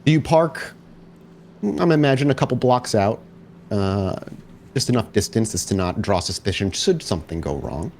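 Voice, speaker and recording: A man's voice: fairly low pitch, lively intonation, moderate pace, darkish tone, slight background noise. A man speaking as host and game master of a tabletop roleplaying podcast, casually chatting and narrating scenes.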